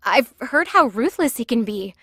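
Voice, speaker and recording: A woman's voice: on the high side, very animated, moderate pace, a bit bright, breathy edge, no echo, exaggerated voice. A woman acting as a frightened, anxious informant in an audio drama.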